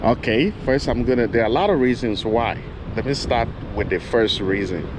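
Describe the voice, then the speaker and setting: A man's voice: medium pitch, moderate pace. A man from Liberia with a Liberian accent, talking casually and loosely while walking outdoors for his vlog. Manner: loose and rambling, restarts often. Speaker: vlogger. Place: outdoors on city streets. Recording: street and traffic noise. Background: traffic and city street sounds.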